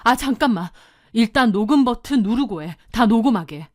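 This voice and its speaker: A woman's voice: fairly high pitch, animated, moderate pace, natural voice. A woman voicing a protective, hot-headed older sister in an audio drama, blunt, bossy and indignant. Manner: blunt, commanding, cursing.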